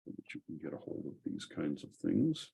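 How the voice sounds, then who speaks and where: A man with an extremely low voice full of creak, talking casually as host of a technical group call. He explains things step by step in an informal way. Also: sing-song, a little fast, warm tone. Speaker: host of a software community call.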